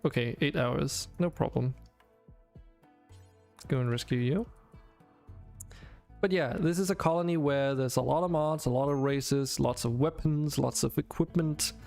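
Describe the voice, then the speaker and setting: A man's voice: mid-range voice, measured pace, vocal fry, pauses often, darkish tone, faint music behind. A young Danish man chatting to his viewers while streaming a game, rambling with many fillers and restarts. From Denmark. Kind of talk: casual game stream talk to chat.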